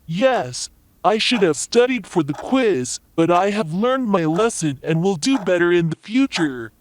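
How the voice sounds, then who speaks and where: A man voicing a cocky, expressive young schoolboy in a children's cartoon story. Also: sits high, a little slow, no echo. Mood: remorseful. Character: Bob, cocky young schoolboy who loves video games.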